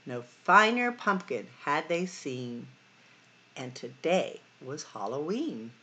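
A middle-aged woman hosting storytime, reading a picture book expressively to children with sing-song, drawn-out emphasis. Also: low voice, sing-song, bright, forceful tone, slight background noise. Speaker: library storytime host.

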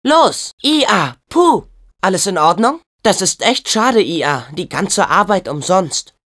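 An eager, loyal teenage boy hero from a video game. His delivery is earnest and youthful, with lively swings in pitch and an unhurried pace. The voice rings out in a long reverb. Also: heavily acted. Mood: excited, urgent. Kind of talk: video game voice acting, German dub.

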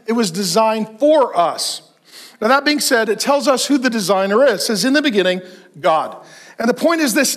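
A man of fifty-one preaching a sermon on a large church stage, animated and joking as he gestures. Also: extremely high pitch. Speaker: pastor.

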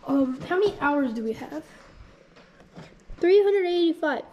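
A woman's voice: high voice, animated, a little slow, highs cut off. A woman hosting a casual walkthrough vlog, thinking aloud in a rambling, unsure way with frequent ums. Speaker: channel host.

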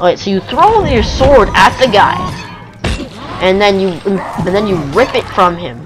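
A man's voice: sits high, expressive, measured pace. A young man giving casual, goofy gameplay commentary, reacting aloud with exclamations. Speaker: gamer. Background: game music.

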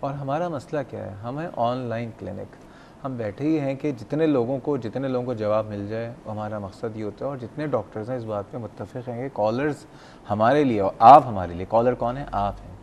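A man with a Pakistani accent and a mid-pitched voice hosts a TV call-in show. He is courteous and conversational, and there is clear noise behind him. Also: a little fast. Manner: courteous, chatty. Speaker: host of a TV health call-in show. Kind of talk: conversational live TV hosting. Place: TV studio at a desk. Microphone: lapel mic.

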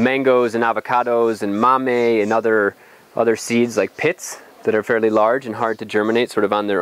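A young man with a fairly low voice gives an informal, explanatory tour. He thinks aloud a little hesitantly as he goes. He wears a lapel mic outdoors, with light ambient noise behind him. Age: young adult. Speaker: farm guide. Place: outdoors on a farm.

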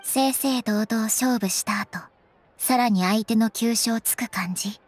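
A woman playing a quiet, understated young magician's assistant with a deadpan manner in a Japanese video game. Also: fairly high pitch, a little slow. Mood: flat, calm.

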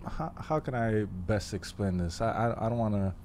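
A man in his twenties from Haiti, telling his story as a guest, thoughtful and pausing often with fillers. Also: on the low side, darkish tone, slight background noise. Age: 20s. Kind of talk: casual interview. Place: living room podcast set. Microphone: studio mic on boom arm, headphones.

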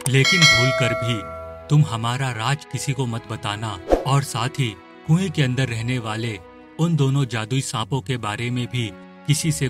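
A stern cartoon young man, acted out by a man, protective of his secret. He warns deliberately in a medium-pitched voice, with music underneath. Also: natural voice. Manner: deliberate, warning.

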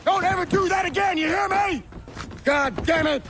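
An actor playing a gruff, weary, hot-tempered colonial army general in a video game, speaking bluntly in old-fashioned turns of phrase with a gravelly voice. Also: male voice, very high-pitched, normal speed, background noise. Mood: furious. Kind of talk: dramatic video game cutscene acting.

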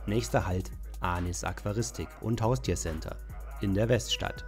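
A man's voice: on the low side, moderate pace, soft background music, lightly acted. A man reading scripted narration for an audio drama.

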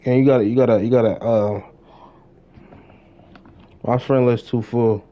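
A man's voice: mid-range voice, fairly even intonation, moderate pace. A young adult man chatting casually on a gaming livestream, slangy, often repeating his phrases. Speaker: live game streamer. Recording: dull lo-fi stream audio.

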